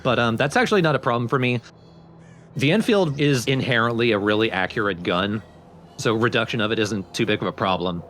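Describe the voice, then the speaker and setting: A man's voice: mid-range voice, a little fast, fairly bright tone, a little hiss or hum. A man giving casual, explanatory gameplay commentary in an informal way. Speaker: let's play host and player.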